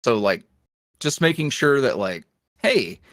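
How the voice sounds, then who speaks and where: A man guest on a podcast, an ASMR roleplay creator, talking candidly in a rambling, filler-heavy way that swings from lively bursts to low mutters. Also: measured pace, no echo, clean studio sound. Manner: swings between animated highs and low mutters. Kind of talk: casual interview chat.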